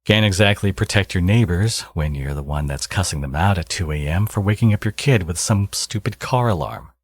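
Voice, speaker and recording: A man playing a smug, teasing supervillain and doting father in an intimate ASMR audio roleplay, with sardonic, drawling threats and a husky voice. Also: low-pitched, lively intonation, brisk, no room sound.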